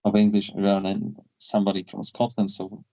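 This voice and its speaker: Man, interviewer, hesitant, lots of fillers, low-ish and mellow, slow, dry close sound. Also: completely flat delivery, gravelly, no room sound, rough recording. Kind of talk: interview questions.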